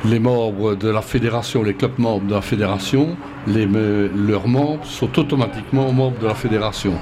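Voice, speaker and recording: A man being interviewed on outdoor radio, speaking in a measured, hesitant way with many fillers. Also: on the low side. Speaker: federation president, interview guest.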